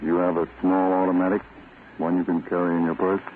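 Male actor as authoritative spy chief, deep register, deliberate instructions, old radio drama, thin noisy sound. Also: level tone, lightly acted. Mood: curt, secretive. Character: commanding male American counter-spy chief, brisk and secretive. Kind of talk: 1940s radio drama acting. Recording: tinny, noisy vintage radio recording.

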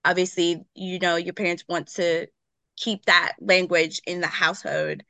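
A woman's voice: fairly low pitch, level tone, moderate pace, fairly bright tone, dry recording. A woman in her twenties, originally from Nigeria, chatting as a podcast guest in a loose, talkative way full of "like" fillers and frequent laughter. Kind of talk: casual chat.

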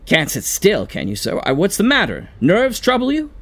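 An actor playing a polite, measured police officer who quietly probes in an old-time radio horror drama. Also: male voice, high-pitched, huge pitch swings, exaggerated voice. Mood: curious. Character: courteous, quietly probing male police officer.

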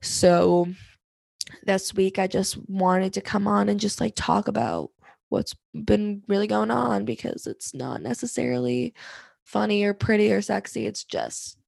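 A young woman talks candidly on her solo podcast, wandering through her thoughts with frequent "like"s and restarts. Her voice is raspy and a bit hoarse, with a gravelly fry at the ends of phrases. The sound is close and dry, with no room echo. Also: medium pitch, expressive, measured pace, a little mellow. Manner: candid, rambling, many fillers and restarts. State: hoarse from singing. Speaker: podcast host.

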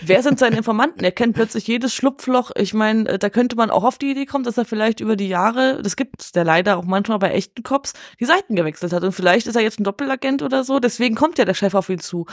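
A woman's voice: a little high, fast talker, few pauses, no echo. Chatty woman, very dry sound. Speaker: podcast guest discussing a film. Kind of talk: casual chat, film discussion.

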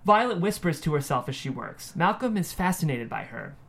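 A man's voice: fairly high pitch, big pitch swings, fairly quick, gravelly, fairly bright tone. A man narrating scene headings and stage directions from a script at a table read.

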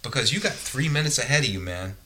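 A man speaks in a very bright, forceful tone. He chats casually and thoughtfully about working with bands in the studio. He often stops to restart his sentences. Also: mid-range voice, lively intonation, fast talker, poor audio. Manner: thoughtful, hesitant, many restarts and fillers. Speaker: music producer. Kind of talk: casual conversation.

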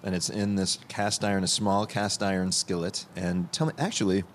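A man's voice: low voice, flat delivery, normal speed, slight background noise. A man reporting on a dish he is tasting, interviewing a chef with wry jokes. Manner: wry, joking.

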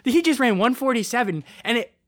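A man's voice: very high-pitched, brisk, no room sound. A young man chatting casually and animatedly, joking at his own expense and filling his talk with "like". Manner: animated, self-mocking, lots of "like". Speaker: co-host, runner. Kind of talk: casual chat.

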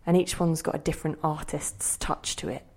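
Low-voiced woman a possessive, wistful inner spirit. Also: steady tone, a little fast. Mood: wistful, awed. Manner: theatrical, musing, by turns sharp and intimate. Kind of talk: audio drama monologue and dialogue.

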